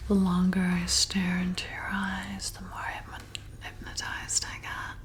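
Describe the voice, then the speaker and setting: Woman as a slow-whispering flirty vampire bartender. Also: fairly low pitch, completely flat delivery, fairly bright tone. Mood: seductive. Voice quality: breathy whisper with creaky edges. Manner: slow, soothing, intimate, coaxing. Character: flirty, seductive female vampire bartender, slyly predatory hypnotist. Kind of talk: whispered ASMR roleplay.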